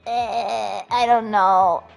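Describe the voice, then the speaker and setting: A woman's voice: medium pitch, fairly even intonation, extremely slow, creaky. A woman streaming a game with casual, chatty, reactive live commentary. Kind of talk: casual live gameplay chat. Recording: dull lo-fi mic, game music behind.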